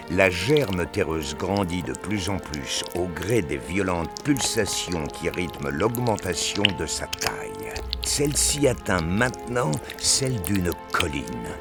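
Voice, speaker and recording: Very deep, slow male narrator. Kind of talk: scripted audio drama narration.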